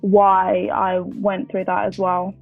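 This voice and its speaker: A woman's voice: medium pitch, monotone, slow, vocal fry, soft background music. Woman in her twenties on a tinny line. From Colombia, raised in London. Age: 20s. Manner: chatty, many fillers and restarts. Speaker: podcast guest. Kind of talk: casual interview.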